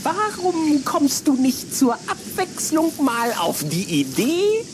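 A man playing a mocking, unpredictable teenage boy vampire in a children's radio play, with theatrical, drawn-out taunting. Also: very high voice, sing-song, noisy background, heavily acted. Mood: sly coaxing.

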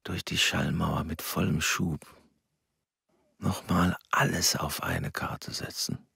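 Very gravelly, ambitious father. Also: sits low, fairly even intonation, takes it slowly, sharp tone, dry recording, studio quality, exaggerated voice. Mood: grand, driven. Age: middle-aged. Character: ambitious middle-aged German engineer father, fired with zeal. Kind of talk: radio play acting.

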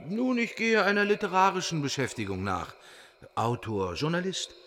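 A man acting a boastful, evasive gentleman in a radio drama, formal, self-important and eager to ingratiate himself. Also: on the high side, expressive, moderate pace.

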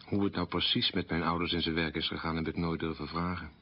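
A man playing a troubled, burdened middle-aged character, giving a measured, articulate confessional monologue for radio. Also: deep voice, flat delivery, slightly rough. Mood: sombre. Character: troubled man burdened by his family's collaborationist past. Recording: dull, lo-fi radio recording.

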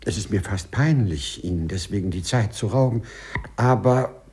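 A man's voice: lowish voice, moderate pace, a little mellow, slightly rough. An actor playing a timid, overly correct male civil servant with hesitant, formal phrasing in a 1950s radio play. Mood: embarrassed, hesitant.

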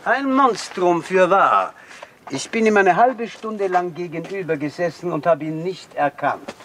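Sardonic, obsessive killer-hunter for radio play; highish, very animated, insistent and theatrical. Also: male voice, measured pace, slight background noise. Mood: grimly determined. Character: driven, sardonic man hunting a murderer in old Vienna. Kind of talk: radio drama acting.

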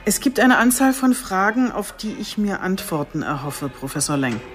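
A woman's voice: medium pitch, sing-song, normal speed, natural voice. Female actor as chief inspector, radio drama, matter-of-fact, mid-range voice, faint music underneath. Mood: businesslike. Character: businesslike female police chief inspector on a murder case.

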